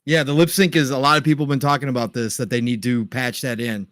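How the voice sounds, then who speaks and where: A man co-hosting a gaming podcast, chiming in with quick agreeing replies. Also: medium pitch, fairly even intonation, a bit bright. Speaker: podcast co-host. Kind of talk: casual gaming chat.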